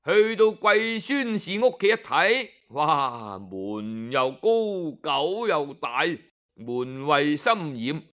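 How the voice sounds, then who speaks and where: A man tells a tale in Cantonese-accented storyteller style, with a fairly high voice that swings widely in pitch. He takes his time, explaining things conversationally and stretching the particles that close his phrases. The audio is slightly muffled and lo-fi. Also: big pitch swings, takes it slowly, a bit bright. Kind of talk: Cantonese oral storytelling, scripted yet colloquial narration.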